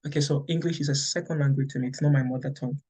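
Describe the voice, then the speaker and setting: Husky Nigerian man explaining patiently. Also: medium pitch, fairly even intonation, rapid-fire, warm tone. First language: Yoruba. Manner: many "okay" checks, repeats and restarts. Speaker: English teacher. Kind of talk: explaining to teachers, conversational lecture.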